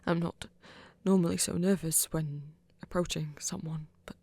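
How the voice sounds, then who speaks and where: Female actor as shy romantic vampire, gravelly low murmur, breathy, halting, gentle, ASMR roleplay. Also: steady tone, no room sound. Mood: nervous, adoring. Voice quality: breathy, husky, half-whispered. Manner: halting, gentle, careful phrasing. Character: flirty, tender vampire shyly confessing love to a hunter.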